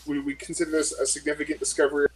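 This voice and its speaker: A man's voice: fairly high pitch, monotone, rapid-fire, breathy edge. A man playing in a tabletop role-playing game, thinking aloud hesitantly with many fillers. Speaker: player. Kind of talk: casual game chat.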